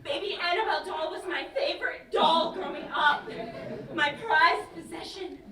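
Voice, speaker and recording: Woman acting cheeky, high-voiced London girlfriend, echoey. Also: normal speed, crowd noise. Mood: mock solemn. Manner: teasing, slangy, mock-solemn. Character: cheeky, joking young British woman, mock-dramatic best friend. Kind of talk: staged play reading. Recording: distant, echoey stage recording, noisy background.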